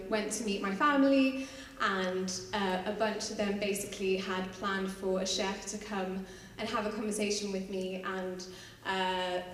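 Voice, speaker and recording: A woman's voice: mid-range voice, recorded from a distance. A young woman speaks in a loose, chatty way and fills her talk with "like" and "you know." Her voice rings through a large, very echoey hall. She sits well back from the microphone, and a faint hum runs behind her. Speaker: panelist. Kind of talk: casual personal account. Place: large hall at a live event.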